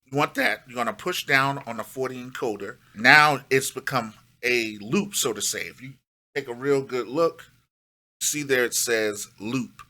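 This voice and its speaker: A man's voice: mid-range voice, bright, forceful tone. A middle-aged man giving a casual, step-by-step music production tutorial, emphatic and repeating his key phrases.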